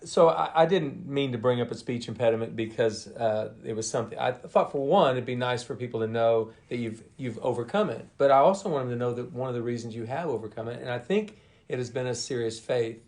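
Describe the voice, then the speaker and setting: A middle-aged coach hosting a podcast, asking a guest long, earnest questions. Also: male voice, medium pitch, brisk, a little mellow. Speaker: golf coach, podcast host. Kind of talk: interview questions.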